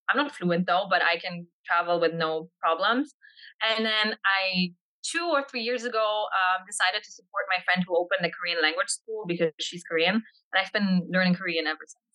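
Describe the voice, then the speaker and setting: A woman's voice: on the low side, bright, forceful tone, low-quality recording. A young woman from Poland whose first language is Polish, answering interview questions thoughtfully with frequent fillers. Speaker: interview guest.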